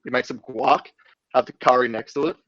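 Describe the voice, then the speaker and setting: A man's voice: mid-range voice, steady tone, a little fast, a bit bright, dry recording, lo-fi sound. A young man with an Australian accent, bantering casually in a group, joking and swearing freely. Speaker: podcast guest or band member.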